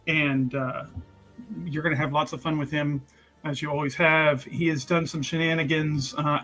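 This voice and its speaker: High-voiced, playful man chatting. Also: a bit bright, rough recording. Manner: playful, joking. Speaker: player on tabletop roleplaying show. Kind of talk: casual chat.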